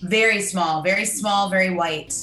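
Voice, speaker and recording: A woman from Michigan, an actress, chatting in an interview in a lively way that is quick to laugh. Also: medium pitch, roomy sound, soft background music. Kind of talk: casual interview.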